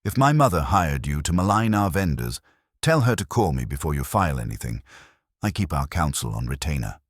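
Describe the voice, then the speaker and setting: Guy doing a slick entitled business son in a detective audiobook, curt, very low raspy voice, dry sound. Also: big pitch swings, fairly quick, no room sound, full character voice. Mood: cold, threatening. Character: smug corporate bakery heir in his thirties.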